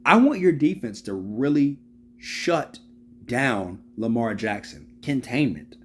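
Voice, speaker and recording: A man's voice: mid-range voice, takes it slowly, slight background noise. A man in his early thirties giving casual, slangy football analysis, repeating his points for emphasis and calling out to viewers. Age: 30s. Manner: animated, repeats phrases for emphasis. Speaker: sports video host.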